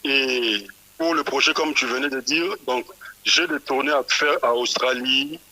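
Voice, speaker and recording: Bright-voiced man, halting speech. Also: medium pitch, poor audio. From Kinshasa, DR Congo. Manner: stumbles and repeats small words while thinking. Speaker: musician guest. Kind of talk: radio interview.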